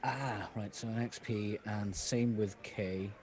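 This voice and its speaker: A man's voice: fairly low pitch, steady tone, takes it slowly, a little mellow. A man from Wales chatting casually as a player on a game stream. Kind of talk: casual chat.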